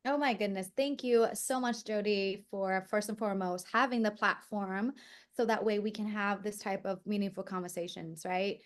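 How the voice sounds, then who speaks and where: A woman in her forties, from Vietnam, speaks as a podcast guest at a mid pitch. She is effusive and animated, with plenty of "um" and "uh" fillers. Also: moderate pace. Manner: warm-hearted and effusive, many "um" and "uh" fillers, animated when telling a story. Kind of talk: casual interview chat.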